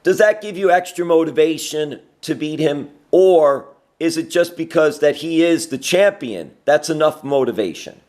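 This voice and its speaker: A man's voice: fairly high pitch, expressive, moderate pace, few pauses, a little mellow. A man hosting a conversational sports interview, asking long, encouraging questions. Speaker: interviewer, host.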